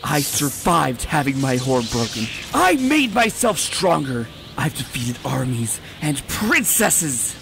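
A woman voicing a proud, bitter female unicorn warrior in a comic dub, boastful and forceful. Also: very deep voice, very animated, takes it slowly, creaky, hardly any pauses, faint music behind, heavily acted. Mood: furious boasting. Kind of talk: comic dub character acting.

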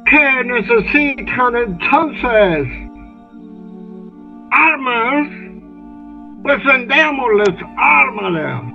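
A man's voice: high-pitched, gravelly, full character voice. An older man playing a greedy, cynical British merchant in an amateur radio drama over a video call. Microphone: webcam on video call. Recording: tinny phone-like video call audio.

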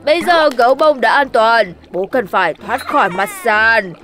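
A woman voicing an earnest, resourceful lion in a children's cartoon, with an expressive, singsong rhyming delivery. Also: on the high side, moderate pace, natural voice. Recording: light music behind the voice.

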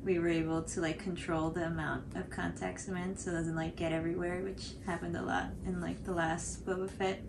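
Low, even-toned young American woman with fry. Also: brisk, few pauses, noisy background. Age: 20s. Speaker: craft video co-host. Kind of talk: casual tutorial chat. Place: small indoor room at home, on a couch.

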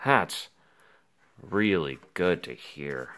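A man reading a rhyming children's story aloud theatrically, with dramatic pauses, as an anxious, melodramatic five-year-old boy. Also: low voice, lively intonation, fairly bright tone. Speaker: picture book reader.

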